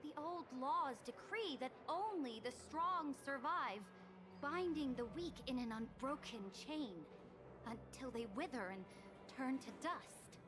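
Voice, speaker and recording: A youthful, conquest-hungry empress from a fantasy game. Her high voice swoops with haughty formality and proud command. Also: animated, slow, over music. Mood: resolute. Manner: haughty, formal, forceful rhetoric. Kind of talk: scripted video game voice acting.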